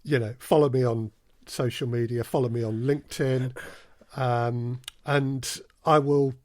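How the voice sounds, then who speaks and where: Male podcast guest, medium pitch, close dry sound, conversational and hesitant, thinking through his answers. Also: steady tone, measured pace, darkish tone, no room sound. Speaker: podcast guest, audio drama producer and casting director. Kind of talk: casual interview conversation.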